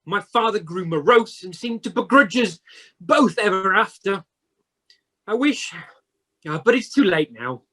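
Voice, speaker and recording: Old male miser, acted out, radio drama: raspy, animated, blustering and stammering, easily flustered. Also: mid-range voice, unhurried, long pauses. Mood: moved. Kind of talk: radio play acting.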